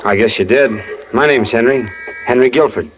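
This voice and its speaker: A man's voice: mid-range voice, normal speed, noisy background, close to an everyday voice. An actor playing a naive, eager young working man, earnest and hesitant, in 1940s radio style. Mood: shy, eager. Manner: hesitant, stammering, boyish. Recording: muffled vintage radio recording with music.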